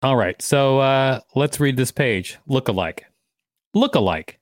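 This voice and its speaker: A man's voice: mid-range voice, lively intonation, a little slow, no room sound, studio quality. Male podcast host reading aloud. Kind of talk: reading an article aloud with casual commentary.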